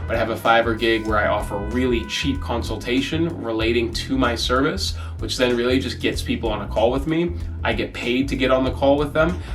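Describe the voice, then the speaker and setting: A man's voice: mid-range voice, fairly bright tone, recorded from a distance. A young man with an American accent, casually explaining his freelance sales process in a candid vlog. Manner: candid and conversational, with self-corrections and quick quoted asides. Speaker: freelance email marketer. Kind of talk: casual talking-head vlog.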